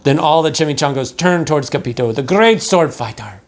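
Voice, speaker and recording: A man's voice: fairly high pitch, expressive, a little fast. A man reads a picture book aloud for young children. He is theatrical in his delivery and leans on key words, drawing them out for emphasis. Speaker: pastor reading a picture book to second graders. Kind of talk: animated children's storybook read-aloud.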